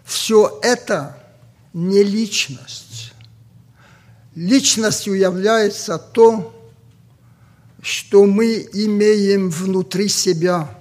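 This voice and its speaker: A man from Ossetia with an Ossetian accent, a native Ossetian speaker preaching a sermon slowly and deliberately, pausing often with fillers. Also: sits high, darkish tone. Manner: slow and deliberate with many pauses and fillers.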